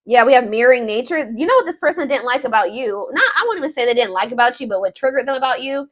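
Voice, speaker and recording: A woman's voice: on the high side, animated, quick pace, a little hiss or hum. A woman reading tarot for viewers in a casual, informal way, thinking aloud as she goes, with emphatic points. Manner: informal, conversational, searching for words aloud, emphatic. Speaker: tarot reader, host.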